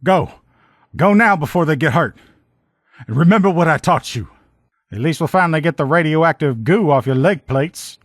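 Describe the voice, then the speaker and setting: Male actor as video game army lieutenant, protective comrade, blunt salty slang, fairly high voice, very dry close sound. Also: expressive, normal speed, no echo. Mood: pained, determined. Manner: blunt, profane soldierly banter with folksy slang. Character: gruff, loyal military lieutenant in power armor, protective comrade. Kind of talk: video game character dialogue lines.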